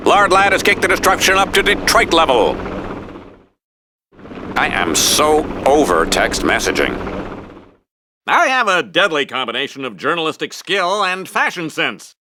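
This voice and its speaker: An actor playing a smug, vain middle-aged American TV news anchor in a cartoon game, with a pompous mock-serious broadcast delivery. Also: male voice, on the high side, background noise. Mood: smug, self-important. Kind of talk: cartoon game newscast commentary.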